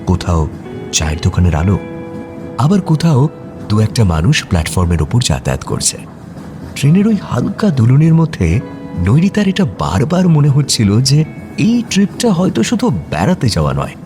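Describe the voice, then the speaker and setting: A male narrator with a mid-pitched voice and a very lively intonation tells a scripted romance. He sounds reflective and conversational, with faint music underneath. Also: moderate pace.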